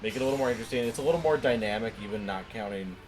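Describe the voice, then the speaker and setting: Fast-talking man with a lowish voice. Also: over music. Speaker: gaming show co-host. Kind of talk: casual let's play commentary chat.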